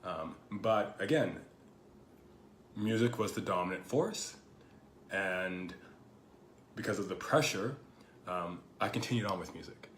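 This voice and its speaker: Man, podcast host, slightly hoarse from a cold, low voice, meandering reflective talk, echoey room. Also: expressive, measured pace, long pauses, fairly bright tone. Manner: thoughtful, rambling, full of "you know" fillers. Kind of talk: casual reflective monologue. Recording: echoey room with faint background noise.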